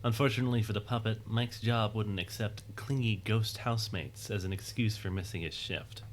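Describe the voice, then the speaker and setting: Low-voiced man narrating a story. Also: fairly even intonation, moderate pace, slight background noise. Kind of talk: scripted dramatic storytelling narration.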